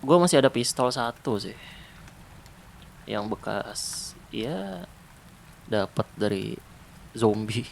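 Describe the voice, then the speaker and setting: A man voicing a protective ex-special-forces survivor in a zombie apocalypse, talking softly and calmly in an ASMR roleplay. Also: mid-range voice, creaky, slight background noise. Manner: soft, calm, unhurried, intimate. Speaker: roleplay voice actor.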